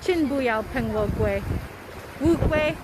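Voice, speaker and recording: A woman's voice: sits high, expressive, measured pace. A woman casually vlogging with family, coaching phrases in a teacherly way by prompting and repeating them. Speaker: mother filming a family language-learning vlog. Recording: noisy outdoor and car ambience.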